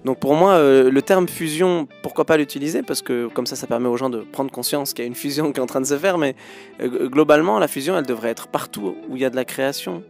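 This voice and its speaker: A man in his thirties with a gravelly, mid-pitched voice answers interview questions as a guest musician. He speaks thoughtfully and hesitantly, with frequent fillers and restarts. Faint music plays in the background. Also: fast talker.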